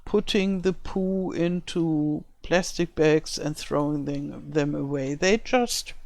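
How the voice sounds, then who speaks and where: Older German woman, English as second language, unhurried, explaining game building as she works, dry close sound. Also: low voice, creaky, darkish tone, no echo. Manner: thinking aloud, searching for words. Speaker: let's-play creator. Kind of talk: casual commentary while building a game map. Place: home in rural France.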